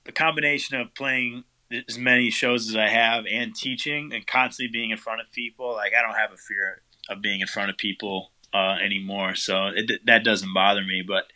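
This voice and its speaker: A man's voice: on the low side, moderate pace, hardly any pauses, rough recording. A man, the guest vocalist, answering interview questions casually, with lots of fillers and loose rambling. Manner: relaxed, rambling, many fillers.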